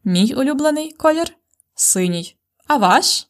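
A woman from Ukraine, speaking English with a Ukrainian accent, hosting a podcast language lesson and slowly modelling words for learners to repeat. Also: high voice, lively intonation. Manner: clear, slow model pronunciations. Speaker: language teacher, podcast host.

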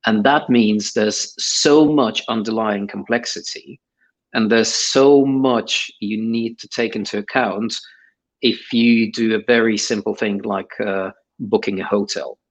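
A man's voice: fairly low pitch, steady tone, measured pace, poor audio. A middle-aged man giving interview answers in a hesitant way, with many fillers and restarts. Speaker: guest.

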